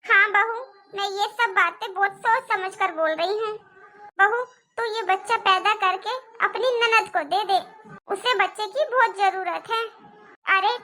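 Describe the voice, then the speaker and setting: Woman playing older mother-in-law, extremely high pitch, piercingly bright, pleading, persuasive, lamenting, cartoon family drama. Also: full character voice.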